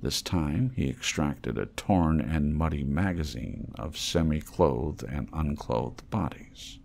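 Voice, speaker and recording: A man's voice: a bass voice, takes it slowly, vocal fry, darkish tone. A man reading a work of fiction aloud as an audiobook narrator.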